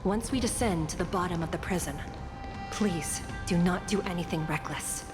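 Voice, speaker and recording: A woman voicing a stern, dutiful prison judge in a video game, speaking formally and gravely. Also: fairly low pitch, normal speed, music in the background, natural voice. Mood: solemn. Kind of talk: video game cutscene acting.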